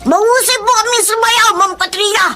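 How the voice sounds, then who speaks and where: A woman's voice: extremely high pitch, fairly even intonation, normal speed, pushed and bright, faint music behind. A woman voicing an earnest, plucky orphaned street child in a Tagalog radio drama. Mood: eager, polite.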